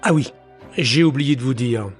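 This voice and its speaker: A man's voice: mid-range voice, normal speed, soft background music, close to an everyday voice. An actor playing an anxious, self-deprecating man of thirty-one who tells his own story in a theatrical audio drama. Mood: wry, confiding. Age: 30s. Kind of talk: first-person audio drama narration.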